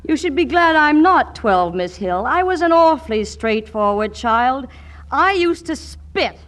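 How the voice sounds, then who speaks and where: Wry, higher-voiced young heroine. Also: female voice, lively intonation, measured pace, a bit bright. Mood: wry, resigned. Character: plucky, wry young woman, romantic comedy heroine. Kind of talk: 1940s radio comedy acting.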